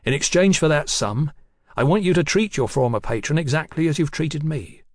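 A man voicing a haughty gentleman doctor in an audiobook, formal and commanding. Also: on the high side, expressive, normal speed, no echo, pitched above the performer's own voice. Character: self-assured gentleman doctor, haughty and generous.

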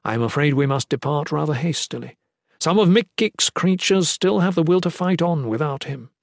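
A reverent zealot lord , voiced by a male narrator, formal and commanding. He intones piously in a raised pitch, in a dry fantasy audiobook recording. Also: expressive, moderate pace, vocal fry, no echo. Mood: reverent, courteous.

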